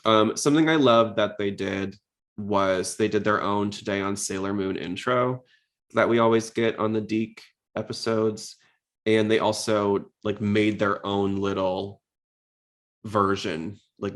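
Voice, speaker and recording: A man's voice: on the low side, steady tone, normal speed, fairly bright tone. A man hosting a podcast, talking informally and explaining things in a casual style. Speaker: podcast host.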